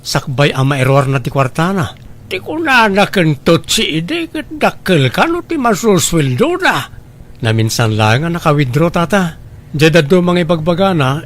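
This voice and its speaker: A man's voice: on the high side, lightly acted. A man with an Ilocano accent playing an earnest villager who warns and explains in an audio drama. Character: earnest village man warning about online job scams.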